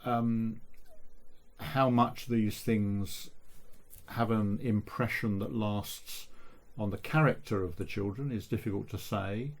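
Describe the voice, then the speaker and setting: A man speaking as an interview guest in a measured, thoughtful way. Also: lowish voice, fairly even intonation. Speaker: interview guest, local history researcher. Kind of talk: reflective radio interview.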